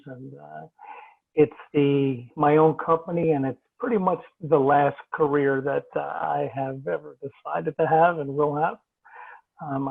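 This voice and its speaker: A semi-retired male teacher introducing himself on a call, dry and wordy, often trailing off softly. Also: mid-range voice, creaky, breathy edge, no room sound. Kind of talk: personal introduction on a video call. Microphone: video call. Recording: tinny phone-like call audio.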